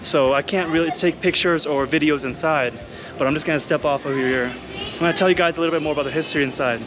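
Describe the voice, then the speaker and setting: A man's voice: fairly high pitch, steady tone, fast talker, music in the background. A man from Thailand talking casually to his viewers as he walks city streets, loose and full of "like" and "you guys". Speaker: travel vlogger. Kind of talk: casual travel vlog.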